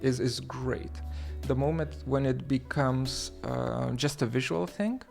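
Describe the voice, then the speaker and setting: A man from Lithuania hosting a livestream, talking haltingly with many fillers and restarts as he reads the chat aloud. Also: mid-range voice, creaky, darkish tone, soft background music. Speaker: livestream host.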